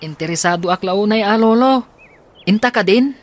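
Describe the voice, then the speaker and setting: A man's voice: high voice, expressive, moderate pace. A young man playing an eager, respectful teenage grandson in a radio drama.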